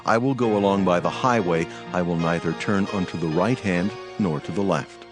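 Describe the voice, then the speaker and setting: An actor playing a calm messenger with a plea for peace, formal and restrained, in a dramatized scripture reading. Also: male voice, low voice, normal speed, close to an everyday voice. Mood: calm, petitioning. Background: music bed.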